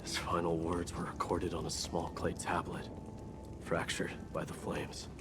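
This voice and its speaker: A man playing a solemn warrior prince in a video game, recounting fallen comrades in a measured, dramatic way. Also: deep voice, monotone, noisy background. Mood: solemn grief. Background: music.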